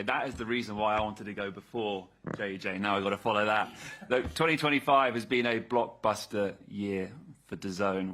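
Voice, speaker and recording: A man speaking at a press conference as a broadcaster's representative, upbeat and smooth. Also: on the low side, a bit bright. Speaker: broadcaster executive.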